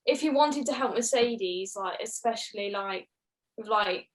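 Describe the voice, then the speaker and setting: A woman's voice: mid-range voice, a little slow. A woman chatting casually as a panellist, with loose, informal phrasing full of "like". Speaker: podcast panellist. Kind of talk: casual motorsport panel chat.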